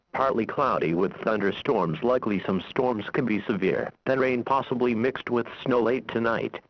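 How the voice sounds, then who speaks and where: A man's voice: mid-range voice, no room sound. A man reading a scripted weather forecast bulletin as a weather radio announcer, in a formal, impersonal, synthetic-sounding delivery over the radio. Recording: dull lo-fi weather radio broadcast.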